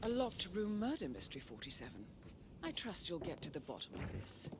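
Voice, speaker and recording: An actress playing a composed, dryly witty handler guiding an assassin in a video game voice-over. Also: female voice, on the low side, big pitch swings, fairly quick, background noise. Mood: composed, wry. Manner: poised, dry.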